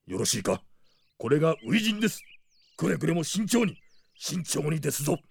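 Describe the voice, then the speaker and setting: Old knight retainer: stern, admonishing, creaky voice, audio drama delivery. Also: male voice, a little high, big pitch swings, moderate pace, full character voice. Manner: formal, old-fashioned, admonishing. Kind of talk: Japanese drama CD acting.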